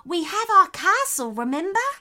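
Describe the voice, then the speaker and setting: A brave, protective little echidna in a children's tale, as a woman narrator puts it on. The voice is high and very lively, kindly and reassuring in manner. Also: measured pace, full character voice.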